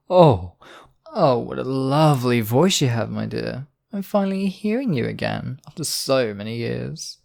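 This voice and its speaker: A man's voice: mid-range voice, lively intonation, measured pace, gravelly, a little mellow. A man playing a smug, obsessive demon king in a theatrical audio roleplay, silky and possessive in his wooing. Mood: smug, teasing. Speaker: voice actor. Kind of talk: theatrical roleplay monologue, ASMR audio drama.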